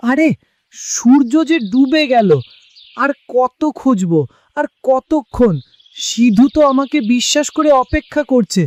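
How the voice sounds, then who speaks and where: A man's voice: extremely high pitch, animated, unhurried, a little mellow. A man playing a loyal, pleading village man full of self-doubt in a cartoon drama. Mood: frantic, frustrated. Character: Deben, anguished loyal village man searching a forest. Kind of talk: Bengali cartoon drama acting.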